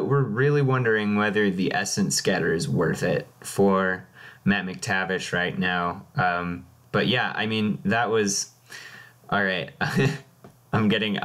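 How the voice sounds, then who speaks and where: A man doing casual live play-by-play commentary on a card game match. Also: fairly low pitch.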